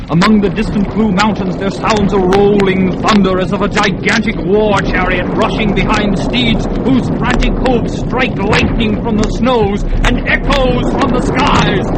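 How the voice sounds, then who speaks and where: A man narrating a classic radio drama in a theatrical manner, swelling loud and sinking hushed. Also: sits high. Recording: noisy old radio recording.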